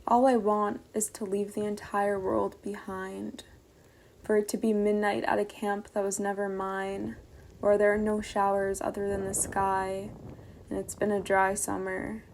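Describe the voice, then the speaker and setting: A woman poet reading her work aloud in a soft, quiet, measured voice over a video call from home. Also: mid-range voice, level tone, slight background noise. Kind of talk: poetry reading.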